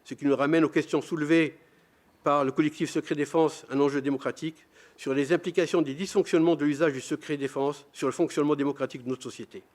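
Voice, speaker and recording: An older man speaks as a panelist, reading out a prepared statement. His voice sits a little high for a man, and his delivery is measured and grave. Now and then he trips over a word and repeats it. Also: fairly even intonation, a little mellow. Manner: measured, grave, with occasional stumbles on words. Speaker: round-table panelist.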